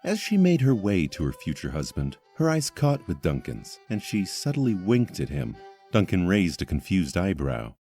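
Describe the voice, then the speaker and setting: A man's voice: low-pitched, sing-song, normal speed, warm tone, soft background music. A man narrating a scripted fantasy story as the storyteller of an audio drama.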